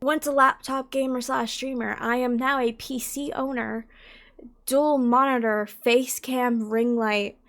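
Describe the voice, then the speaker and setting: Chatty young woman with a high voice. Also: steady tone, unhurried, fairly bright tone. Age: 20s. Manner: chatty, rambling, self-deprecating, many fillers. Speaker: streamer and content creator. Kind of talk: casual to-camera Q&A vlog.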